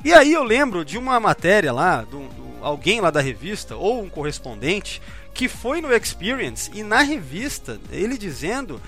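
A man's voice: high-pitched, very animated, normal speed, hardly any pauses, bright, forceful tone, soft background music. A man co-hosting a podcast, chatting casually and rambling with many restarts and fillers. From Brazil. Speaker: podcast co-host.

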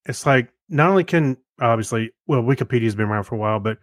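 A man's voice: medium pitch, quick pace, no echo. Casual podcast man, close dry sound. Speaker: podcast co-host. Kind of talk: casual chat, personal anecdotes.

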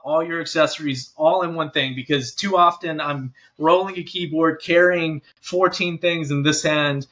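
A man's voice: a little high, pushed and bright. A man chatting casually as an interview guest, heard over slightly dull, lo-fi call audio. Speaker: interview guest, keyboard player. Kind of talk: casual chat.

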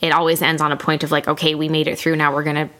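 A woman with a low-pitched, bright and forceful voice co-hosts a casual podcast about books. She talks expressively and conversationally, explaining at length and wandering into asides. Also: completely flat delivery, racing. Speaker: podcast co-host. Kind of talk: casual book discussion podcast.

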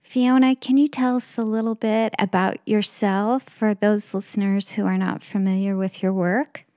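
A woman hosts an interview podcast. Her voice is mid-pitched and plain. She asks her guest friendly, direct questions. The sound is close and dry. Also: steady tone, moderate pace, dark tone, no echo. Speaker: podcast host.

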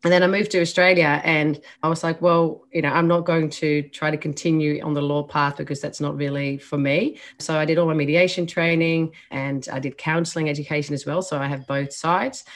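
A woman's voice: deep voice, fairly even intonation, brisk, few pauses. A woman from the Netherlands with a Dutch accent, a mediator answering interview questions in a candid, chatty way. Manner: candid, chatty, self-deprecating. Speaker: mediator and trainer.

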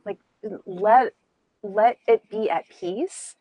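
A woman's voice: mid-range voice, very slow, no echo, lo-fi sound. A woman chatting casually about meditation, rambling and giggling as she tells a story.

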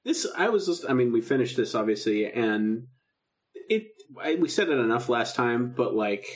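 A man's voice: medium pitch, big pitch swings, moderate pace. A man co-hosting a podcast in casual, informal chat, talking with enthusiasm. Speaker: podcast co-host. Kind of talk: casual podcast chat about a radio drama.

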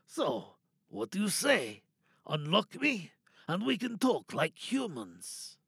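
A man playing a cynical, wary captive scientist in an audio drama monologue, sardonic and probing, bargaining with quiet menace. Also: high voice, huge pitch swings, slow pace, creaky, husky, no echo, clean studio sound, heavily acted. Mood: tense, bargaining. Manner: sardonic, probing, rhetorical questions.

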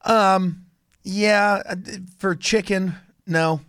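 A man's voice: sits high, steady tone, slow, fairly bright tone, clean tone, no echo, clean studio sound. A man with laryngitis hosting a casual motorsport show on college radio, rambling and often restarting his sentences. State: hoarse from laryngitis. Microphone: studio microphone.

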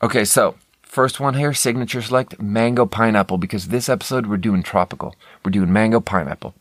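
American male podcast host, lowish pitch, candid conversational rambling, self-interrupting, very dry sound. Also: a little fast, dry recording. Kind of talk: casual rambling solo talk.

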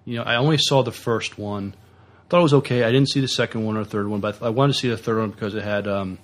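Halting lowish-voiced man chatting. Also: fairly even intonation. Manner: hesitant, halting delivery. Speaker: podcast co-host. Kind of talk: casual news chat.

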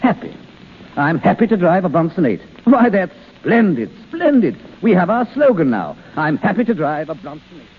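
An actor playing an effusive, fussy, self-pleased company boss in a 1930s radio play. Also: male voice, sits high, big pitch swings, normal speed, breathy edge, full character voice. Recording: echoing old radio broadcast, narrow band.

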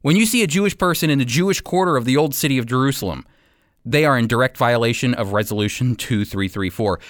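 A man's voice: medium pitch, fast, no room sound, studio quality. A man delivering scripted radio commentary, speaking directly to listeners in an emphatic, persuasive manner. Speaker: host and Bible teacher giving commentary.

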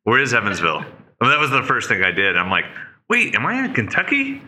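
A man in his fifties from Oklahoma, a guest on a podcast, telling his story in animated, casual chat. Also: fast, a little hiss or hum. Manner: animated storyteller, jumps to high-pitched mock voices. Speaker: podcast guest, leadership speaker.

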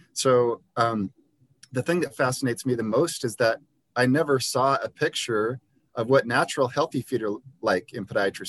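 A man, a podiatrist guest on an interview podcast, explaining his views in an earnest, conversational way with frequent ums and restarts. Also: mid-range voice. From Liberia.

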